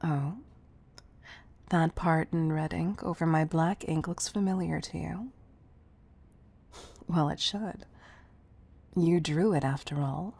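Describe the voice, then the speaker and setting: A woman playing a commanding but fond CEO in an intimate roleplay audio, speaking softly and deliberately to the listener, often near a whisper. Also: low-pitched, full character voice. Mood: wistful, tender. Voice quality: breathy, soft. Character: commanding, tough-loving female CEO, fond underneath.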